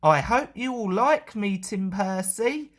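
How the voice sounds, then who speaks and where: A woman's voice: mid-range voice, lively intonation, slow pace. A woman narrating a children's storybook as a storyteller.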